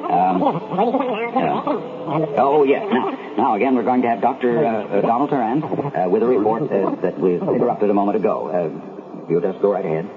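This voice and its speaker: Actor as halting, low-voiced radio news anchor. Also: male voice, a loud crowd, natural voice. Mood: flustered, apologetic. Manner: halting, hesitant, full of ums and stammers. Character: flustered male radio news anchor during an emergency. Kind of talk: 1970s radio newscast acting. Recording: narrow, noisy 1970s radio recording.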